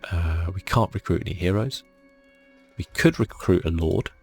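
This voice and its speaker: A man giving soft-spoken ASMR commentary on a video game in a murmured, laid-back way, as if thinking aloud. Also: low voice, normal speed. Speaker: gaming channel host. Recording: faint music behind.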